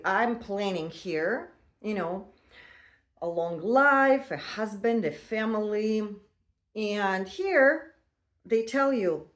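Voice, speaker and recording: Woman in her fifties, personal vlog, mid-pitched, halting and chatty, non-native phrasing. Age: 50s. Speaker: vlogger. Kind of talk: casual personal storytelling.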